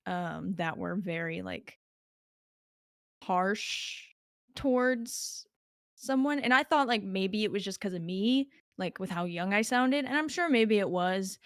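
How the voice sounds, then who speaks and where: A woman's voice: mid-range voice, animated, slow pace, clear, resonant tone, no echo, studio quality. A young woman as a podcast guest, talking in a chatty way with lots of filler words. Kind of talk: casual podcast conversation.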